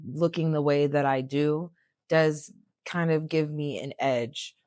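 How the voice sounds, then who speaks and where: A young woman co-hosting a podcast, her voice low and raspy. She talks bluntly with a joking edge and swears freely. The sound is dry and close, with no room around it. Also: fairly even intonation, slow, darkish tone, no echo. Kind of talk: casual chat.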